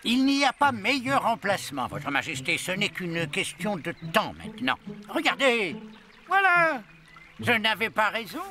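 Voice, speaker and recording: Swooping, high cartoon sidekick. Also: male voice, a little slow, exaggerated voice. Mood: pleased. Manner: musing, eager, expressive. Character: scholarly, inventive little cartoon creature sidekick. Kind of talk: French cartoon dubbing.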